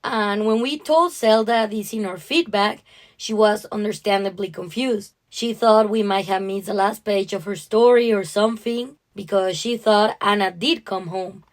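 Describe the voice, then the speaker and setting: Mid-pitched woman discussing fiction. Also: moderate pace. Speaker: co-host of a writing podcast. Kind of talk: conversational literary discussion.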